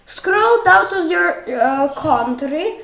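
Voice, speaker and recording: A woman from Ukraine with a Ukrainian accent and a childish-sounding voice, talking through a software tutorial in a hesitant, halting way with many fillers. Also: extremely high pitch, expressive, vocal fry. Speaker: tutorial host. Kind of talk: screen-recorded software tutorial. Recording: echoey room.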